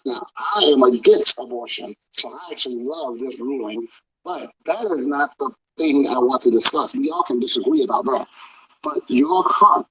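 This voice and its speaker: A man's voice: medium pitch, moderate pace, husky, no echo, low-quality recording. A man, hosting a casual livestream and talking sarcastically to his listeners. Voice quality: loud voice. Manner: sarcastic, talks directly to listeners. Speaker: political podcast host.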